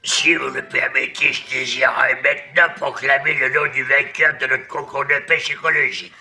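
An actor playing a pompous, jovial cartoon pig official, making ceremonious public announcements. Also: male voice, mid-range voice, level tone, normal speed, bright, forceful tone, faint music behind. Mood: proud, jovial.

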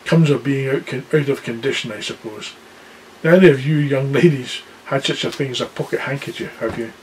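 A man's voice: medium pitch, brisk, slight background noise, natural voice, rough recording. A man voicing a huge, good-natured male giant who talks in a rustic, deferential and bumbling way.

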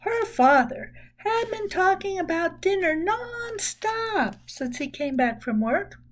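Casting: woman in her 60s, children's storyteller, high swooping voice, emphatic delivery. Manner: expressive, deliberate, emphatic storytelling. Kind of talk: reading a children's book aloud. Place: small room at home.

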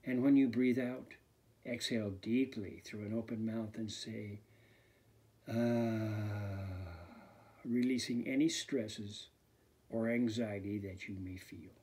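Slow, breathy man guiding meditation. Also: lowish voice, steady tone. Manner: slow, soft and soothing, often sinking to a near whisper. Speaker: fitness instructor. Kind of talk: guided meditation.

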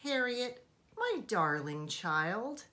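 A woman voicing an exasperated but loving storybook mother, speaking in a drawn-out, restrained way. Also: on the high side, very animated, pushed and bright, exaggerated voice. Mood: strained patience. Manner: slow, drawn-out, restrained scolding with endearments.

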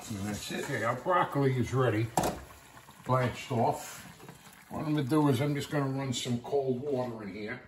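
A man's voice: medium pitch, normal speed. A middle-aged man giving a casual, informal step-by-step cooking demonstration as he works in a home kitchen. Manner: informal, step-by-step instruction while working. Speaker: chef and cooking show host. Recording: kitchen noise, sizzling pans. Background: cooking sounds, kitchen ambience.

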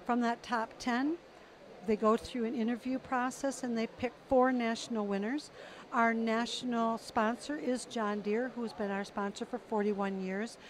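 A woman's voice: fairly high pitch, fairly even intonation, unhurried, slight background noise. A middle-aged woman answering interview questions about an awards program, informative with some fillers, on a handheld mic in an exhibition hall. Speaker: program representative.

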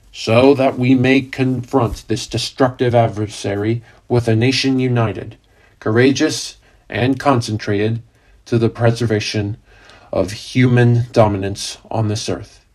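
A man playing a solemn president giving a measured, oratorical 1930s radio address to a nation in crisis. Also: medium pitch, a little mellow, lightly acted.

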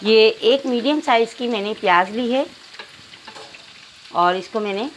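A woman's voice: on the high side, measured pace, a little hiss or hum, poor audio. A woman narrating cooking instructions step by step, addressing viewers directly as a home cook. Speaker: home cook presenting a recipe.

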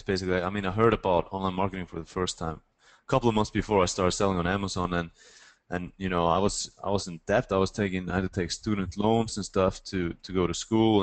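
Deep-voiced Icelandic man, fast talker. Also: no room sound. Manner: quick and hesitant, repeating words. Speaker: guest business partner. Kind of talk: casual chat.

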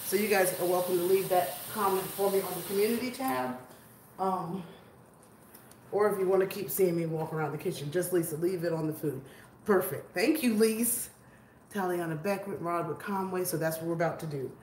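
Lady in her 40s cooking live and chatting, lowish voice, echoey kitchen, bit of room hum. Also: a little fast, darkish tone. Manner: chatty, greets viewers by name while cooking. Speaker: cooking livestream host. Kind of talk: casual live cooking chat with viewers. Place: large home kitchen, indoors. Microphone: camera on a tripod, moving around it.

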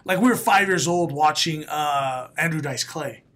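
A man in his late thirties, a comedian guest with a raspy voice, telling stories on a podcast in a small studio with a close mic. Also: fairly high pitch, moderate pace. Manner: animated storyteller, laughs often, bursts into loud announcer calls. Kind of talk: casual podcast interview. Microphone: studio mic, headphones.

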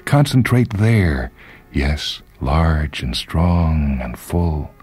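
A man voicing a lonely, flustered middle-aged woman with a halting, yearning delivery in a story reading. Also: a bass voice, big pitch swings, darkish tone, husky, voice pushed up, full character voice.

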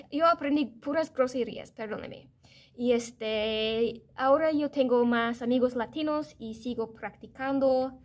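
American woman, 30s, higher voice, casual vlog to camera from her office desk, self-correcting. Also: a little slow. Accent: American English. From United States. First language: English. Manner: chatty, self-correcting, animated hand gestures. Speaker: vlogger. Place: office or classroom, indoors, medium room.

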